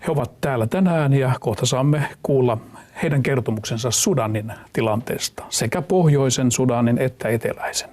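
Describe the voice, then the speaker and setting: A man's voice: medium pitch, fast, a little mellow. Finnish man hosting a TV interview. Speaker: TV interview host. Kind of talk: broadcast interview.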